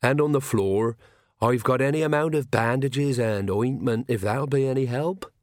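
A man's voice: medium pitch, a little slow, darkish tone, no echo, studio quality. A man voicing a cheerful, unworried castle falconer with a deferential, placid manner and rustic grammar, in an audiobook story. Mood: cheerful, obliging.

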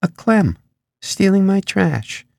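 Man putting on a higher-pitched, flighty, argumentative woman. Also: very animated, takes it slowly, gravelly, a little mellow, dry recording, clean studio sound, heavily acted. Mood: fussy. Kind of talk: audiobook character dialogue.

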